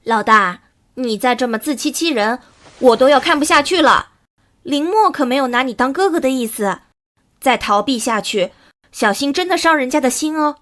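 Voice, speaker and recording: A woman playing a frank, teasing police colleague in an animated drama. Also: sits high, normal speed. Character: teasing, perceptive female police colleague of the detective.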